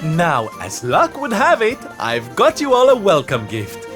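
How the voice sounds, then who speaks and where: A man's voice: a little high, wildly swooping pitch, unhurried, a bit bright, exaggerated voice. A man voicing a flamboyant, theatrical male jester performer in a game cutscene, drawling and calling everyone darlings. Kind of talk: video game cutscene voice acting. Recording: game music behind.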